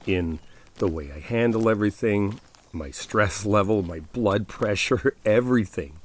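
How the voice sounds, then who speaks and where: Man performing as male best friend, deep voice, ASMR roleplay, caring, insistent, reassuring, casual one-to-one delivery. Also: slight background noise. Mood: earnest, reassuring. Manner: conversational, coaxing, addressing the listener directly.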